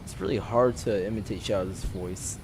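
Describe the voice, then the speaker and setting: A man giving casual gameplay commentary in a halting way, repeating his words. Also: on the low side, noisy background. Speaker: gamer playing and reacting. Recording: loud game music behind. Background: video game music.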